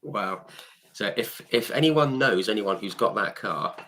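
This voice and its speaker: A man's voice: on the low side, expressive, a little slow, over a noisy crowd. A man from the UK hosting a casual interview, reacting with short enthusiastic wows and yeahs. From United Kingdom. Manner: enthusiastic, frequent short reactions like wow and yeah. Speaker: interview host.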